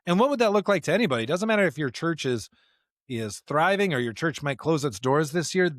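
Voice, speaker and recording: A man's voice: fairly high pitch, lively intonation, fairly quick, fairly bright tone, no room sound. Earnest American man, close dry sound. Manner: earnest, reflective, preacherly with rhetorical questions. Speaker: podcast co-host. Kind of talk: conversational discussion of scripture.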